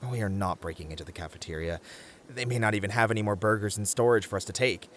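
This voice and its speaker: A man's voice: fairly low pitch, fast talker, a bit bright, slight background noise, lightly acted. A man playing an anxious, sensible young camper in a comedy audio roleplay, wry and hesitant, often stammering as the voice of reason. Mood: exasperated.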